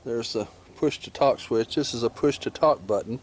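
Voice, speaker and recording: A man's voice: mid-range voice, moderate pace. Man in casual how-to, background noise. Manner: plain-spoken, step-by-step narration while working with his hands. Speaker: motorcycle vlogger demonstrating gear installation. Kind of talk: casual hands-on tutorial. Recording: clear ambient and vehicle noise.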